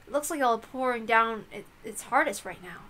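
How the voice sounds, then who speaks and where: A woman reads story narration from a visual novel. Her voice is very high and bright, with expressive delivery and lively swings in pitch. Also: normal speed.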